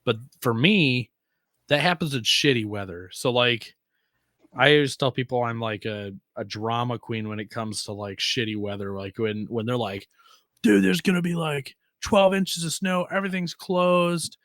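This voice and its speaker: A man's voice: medium pitch, normal speed, fairly bright tone, no room sound, studio quality. An American man co-hosting a casual off-roading podcast, talking in an informal, slangy way with occasional swearing. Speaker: podcast co-host. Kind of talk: casual conversation about off-roading.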